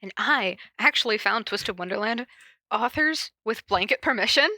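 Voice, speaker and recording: A woman with a notably bright voice in a dry, close recording. She chats casually as a podcast co-host, giggly and playfully teasing, and reads aloud in the same informal way. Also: on the high side, lively intonation, normal speed, dry recording. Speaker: podcast co-host and fan fiction reader. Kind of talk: casual chat with reading aloud.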